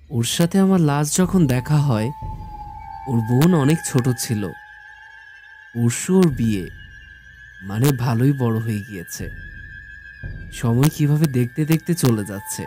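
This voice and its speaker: A man narrating a scripted first-person horror story in a measured storytelling manner. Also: medium pitch, dark tone. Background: background music.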